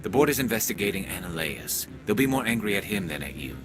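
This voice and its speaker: A man's voice: lowish voice, sing-song, fast talker, music in the background. A man playing a stern, principled soldier companion in a video game, serious and plain-spoken. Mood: advising. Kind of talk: video game dialogue acting.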